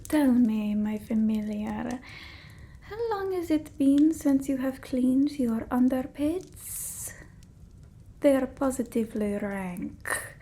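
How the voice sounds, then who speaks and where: Woman acting a creaky, whispering vampire mistress. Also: sits high, a little hiss or hum, exaggerated voice. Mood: disgusted, bossy. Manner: slow, hushed, haughty, fussing over the listener. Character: bossy, fussy old-world female vampire mistress. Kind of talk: ASMR roleplay, whispered and soft-spoken.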